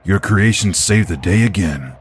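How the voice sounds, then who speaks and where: A man voicing a gruff, watchful soldier with a gravelly voice in a fantasy drama. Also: low voice, animated, normal speed. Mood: grim, watchful. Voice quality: husky, gravelly.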